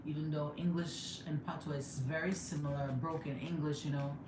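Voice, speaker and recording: A young woman with a Jamaican accent chatting casually on a home livestream, laughing often and sometimes breaking into excited high exclamations. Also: a little fast. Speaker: livestreamer and mother. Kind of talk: casual live chat. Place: home room. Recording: echoey room with background noise.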